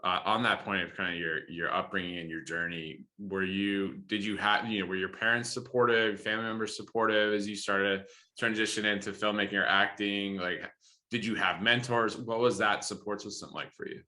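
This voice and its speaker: Low, bright-voiced male podcast host. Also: fairly even intonation, fairly quick. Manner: enthusiastic, many fillers and restarts. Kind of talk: interview podcast.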